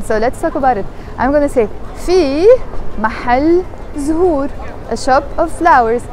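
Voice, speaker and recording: Soft-spoken woman teaching in a station. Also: high-pitched, a little hiss or hum. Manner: soft-spoken and shy, then louder and brighter outdoors. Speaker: language teacher. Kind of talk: vlog lesson. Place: train station, then busy city street outdoors. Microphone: earphone headset mic.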